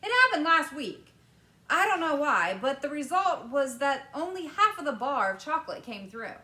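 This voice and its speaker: A woman voicing an eccentric, excitable male candy maker who exclaims fussily, in a storybook reading. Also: high voice, pitched above the performer's own voice, exaggerated voice. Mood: worried. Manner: fussy, exclamatory, dramatic pauses. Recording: roomy echo.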